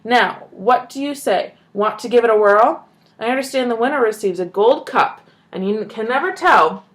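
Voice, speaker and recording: A woman voicing an excitable, kindly old grandfather who bursts into loud, eager shouts. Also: on the high side, moderate pace, natural voice. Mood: coaxing.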